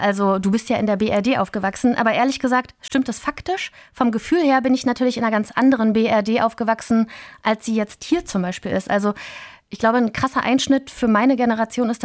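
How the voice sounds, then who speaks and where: A woman in her thirties from East Berlin talks quickly in a TV studio interview, filling pauses with frequent ähm sounds. Her voice sits a little high, and the sound is dry and close. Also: no room sound. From East Berlin, Germany. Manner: fast, with frequent ähm fillers. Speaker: TV series author and reporter.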